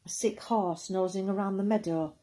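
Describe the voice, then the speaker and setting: Female poetry reader, mellow and slightly husky, expressive recital delivery. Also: mid-range voice, moderate pace, rough recording.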